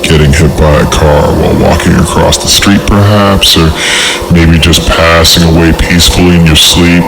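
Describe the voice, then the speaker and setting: A man's voice: sits low, gravelly, poor audio. A man hosting a podcast, reading a horror story in a theatrical, sarcastic way with rambling, profane comic asides, music playing behind him. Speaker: podcast host. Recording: loud music under the voice. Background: loud music.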